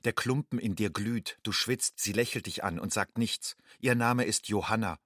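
A man playing a young undocumented student, an anxious outsider, in a measured, introspective radio drama monologue. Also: fairly low pitch, a bit bright, no echo, studio quality. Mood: tender, tense. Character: young illegal immigrant student, anxious, inwardly longing outsider.

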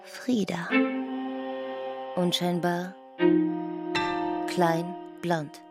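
Slow female narrator over prominent music. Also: fairly low pitch, little pitch movement. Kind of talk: scripted literary narration.

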